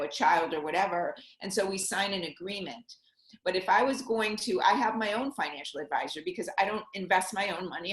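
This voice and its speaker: A middle-aged woman from Connecticut, a money coach and interview guest, talking earnestly about her life in quick bursts. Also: on the low side, a bit bright, roomy sound, low-quality recording. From Connecticut, USA. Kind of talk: conversational interview.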